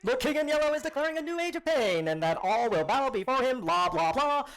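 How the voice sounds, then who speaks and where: A man's voice: very high voice, very animated, fairly quick, fairly bright tone, full character voice. A man voicing a small, snarky, bloodthirsty monster co-host, giving theatrical, sarcastic commentary in a radio comedy. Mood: bored, sarcastic. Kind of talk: mock sports commentary in audio comedy.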